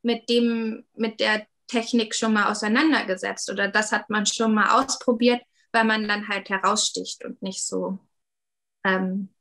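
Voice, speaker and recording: A woman's voice: mid-range voice, little pitch movement, a little slow, a bit bright. A woman in her thirties, a 3D artist talking casually in an interview, with lots of fillers and hedges. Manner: chatty, many fillers and hedges.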